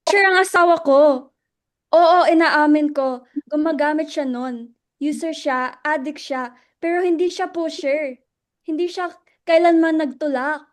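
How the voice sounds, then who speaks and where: A woman acting a guarded, emotional widow in a dramatic reading, halting and defensive as she speaks. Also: high-pitched. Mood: upset, defensive. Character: Luella, guarded, emotional widow defending her dead husband.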